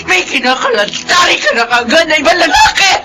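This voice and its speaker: A woman in a radio drama playing a grieving older mother who sobs, shouts and bitterly accuses her daughter-in-law. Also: very high-pitched, wildly swooping pitch, fairly quick, full character voice. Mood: wailing grief. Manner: wailing, sobbing shouts. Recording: radio drama with loud music underneath.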